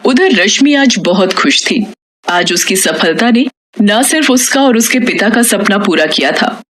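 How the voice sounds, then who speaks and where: A woman's voice: medium pitch, a bit bright. A woman reading a scripted moral tale as storyteller, in narration.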